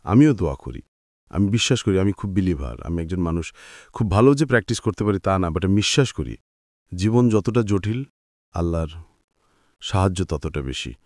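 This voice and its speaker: A Bangladeshi man with a low voice speaks as a radio host in a dry, close sound. His delivery is measured and earnest, reflective and consoling, with English words dropped in. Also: animated, darkish tone, no echo. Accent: Bangladeshi Bengali. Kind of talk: consoling, reflective address to guest and listeners.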